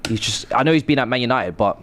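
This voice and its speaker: Fast-talking man in football banter. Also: medium pitch, expressive, a bit bright, slight background noise. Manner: quick, chatty interjections. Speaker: podcast co-host.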